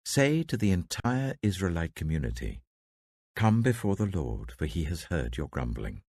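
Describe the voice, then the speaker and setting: A man's voice: low-pitched, sing-song, normal speed, darkish tone, husky, dry recording, clean studio sound, heavily acted. A British man voicing a stern prophet rebuking his people in a scripture reading, firm and declamatory. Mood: stern, emphatic.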